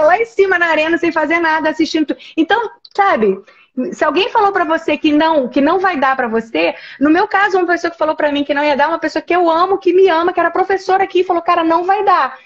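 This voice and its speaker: A Brazilian woman with a high, bright voice rattles along quickly and emphatically, often restarting her sentences. She is on a video call, and the audio comes through dull and lo-fi. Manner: animated, emphatic, with many restarts. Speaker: host, professor. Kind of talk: casual conversation.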